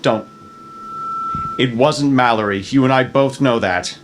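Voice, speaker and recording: A man's voice: medium pitch, a little slow, noisy background, lightly acted. A man reading the part of a troubled, protective, irritable husband at a screenplay table read. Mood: tense, annoyed.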